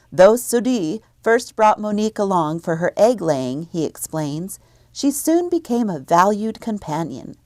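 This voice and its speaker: A woman library presenter reading scripted announcements and children's book reviews, clearly enunciated. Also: on the low side, a little mellow, dry recording, clean studio sound.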